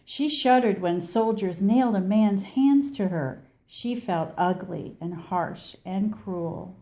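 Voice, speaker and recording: A woman reading a children's story aloud expressively, with measured delivery and dramatic pauses. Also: mid-range voice, dark tone, poor audio. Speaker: storybook reader.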